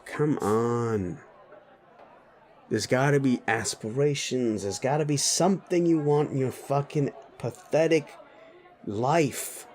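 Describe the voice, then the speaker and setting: A man's voice: a little high, a little mellow. A man playing a sleazy, bullying, foul-mouthed werewolf boss in a roleplay audio drama, blunt and needling. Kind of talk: immersive roleplay audio drama addressed to listener.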